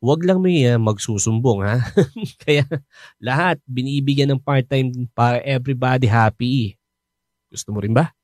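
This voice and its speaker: An actor playing a talkative, helpful fellow overseas worker who jokes and reassures, in a radio drama. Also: male voice, mid-range voice, moderate pace, darkish tone, no room sound, studio quality, close to an everyday voice. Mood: friendly. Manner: chatty, joking, reassuring. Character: chatty, helpful coworker who knows everyone.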